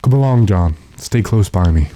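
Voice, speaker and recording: A man playing a weary, decisive gentleman master with a dry, commanding manner in an old-time radio drama. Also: lowish voice, animated, normal speed, a little hiss or hum, lightly acted. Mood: resolute.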